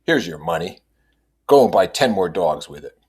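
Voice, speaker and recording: A man's voice: low voice, sing-song, moderate pace, a little mellow, breathy edge, full character voice. A man voicing a blunt, domineering man in a novel read aloud, curt and commanding. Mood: curt, decisive. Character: blunt, domineering, decisive husband-aged man. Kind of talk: audiobook character reading.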